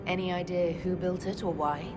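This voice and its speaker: A composed female leader from a fantasy video game, played by a woman, her voice on the low side. She sounds inquisitive and diplomatic as she questions her companions. Clear music runs underneath. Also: steady tone, normal speed, natural voice. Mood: thoughtful, curious. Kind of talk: video game cutscene dialogue.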